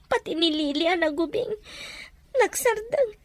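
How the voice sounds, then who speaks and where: A woman's voice: very high voice, expressive, a little slow, no echo. A woman playing a young eldest daughter in a radio drama, plaintive and reproachful under the burden of her family. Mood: weary, sad. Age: young adult. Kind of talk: Ilocano radio drama acting.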